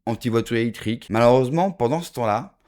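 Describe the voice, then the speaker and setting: A man from France delivers a pointed political monologue straight to his audience. He talks with rhetorical emphasis and frequent asides to the viewer. His voice sits at an ordinary middle pitch for a man. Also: fast, darkish tone. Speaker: political video commentator. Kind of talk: opinion monologue to camera.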